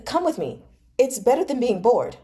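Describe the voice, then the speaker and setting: A woman's voice: fairly high pitch, big pitch swings, normal speed, warm tone, voice pushed up. A woman reading a novel aloud as an eager young nobleman, wheedling and insistently coaxing a friend. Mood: eager, persuasive. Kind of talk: dramatized audiobook-style reading of a novel.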